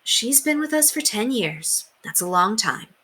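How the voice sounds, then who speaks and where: Storybook mother, read by a woman: caring, patient, reassuring, with lively intonation. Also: a little high, a little slow, husky, pitched above the performer's own voice, heavily acted. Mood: gentle, soothing. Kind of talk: children's storybook read-aloud.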